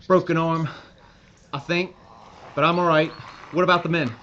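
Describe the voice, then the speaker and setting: Man acting a desperate, high-pitched army major. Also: steady tone, normal speed, a bit bright, lightly acted. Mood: alarmed. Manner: barked military orders and radio procedure. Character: embattled American army major, commanding, desperate, stubborn. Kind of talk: audio drama acting. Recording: muffled, lo-fi, with background noise.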